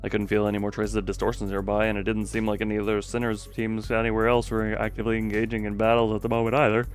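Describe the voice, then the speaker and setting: A man's voice: lowish voice, completely flat delivery, fast talker, faint music behind, voice pushed down, natural voice. A man reading game story narration aloud as a grave, reflective narrator. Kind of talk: scripted narration read aloud.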